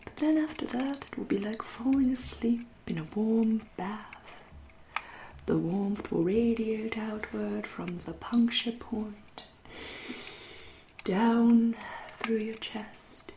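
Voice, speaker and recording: A woman playing a centuries-old vampire in an ASMR roleplay, with an English accent, a soft breathy half-whisper and a genteel, teasing, politely menacing manner. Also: a little high, a little hiss or hum. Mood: soothing menace. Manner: slow, deliberate, politely menacing.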